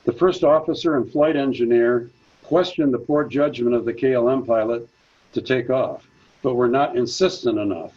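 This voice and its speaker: Husky-voiced man giving a prepared talk. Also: medium pitch, highs cut off. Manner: measured, reads from notes. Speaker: presenter. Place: senior center.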